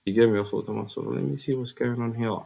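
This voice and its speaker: A man from Somaliland speaks with a British accent at an average pitch. He hosts a live stream in casual chat, informal and full of slang. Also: fairly even intonation, fast, gravelly, dark tone.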